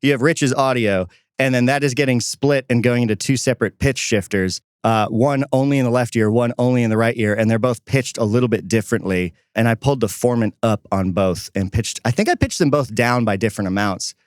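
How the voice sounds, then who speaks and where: A man's voice: mid-range voice, brisk, hardly any pauses, no echo, studio quality. A man chatting casually as a podcast co-host. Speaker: podcast co-host and audio editor. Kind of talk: casual chat.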